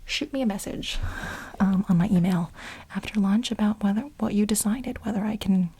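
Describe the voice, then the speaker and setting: A woman playing an anxious, needy lab researcher in a soft-spoken ASMR role play, breathy and often slipping into a whisper, halting and rambling. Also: mid-range voice. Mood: giddy, eager. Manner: halting, rambling, emotional, repeats herself.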